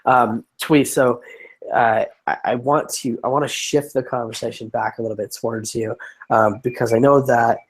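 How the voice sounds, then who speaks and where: A man's voice: mid-range voice, fairly even intonation, normal speed, slightly rough. A man co-hosting a casual conversation who is getting over a lung infection, so his voice is scratchy and tired, and he rambles with many restarts and fillers. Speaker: podcast co-host.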